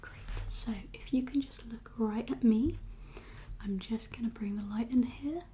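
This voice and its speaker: Woman whispering softly, mellow and calm. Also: fairly high pitch. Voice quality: soft breathy whisper. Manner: calm, reassuring, unhurried. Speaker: ASMR performer playing a doctor. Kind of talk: whispered ASMR medical roleplay.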